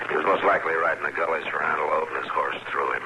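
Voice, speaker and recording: An actor playing a steady, reasonable frontier marshal with an American accent, measured and understated in an old radio western. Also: male voice, extremely low pitch. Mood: gentle, sober. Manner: measured, understated, hesitant when delicate. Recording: noisy old radio drama recording.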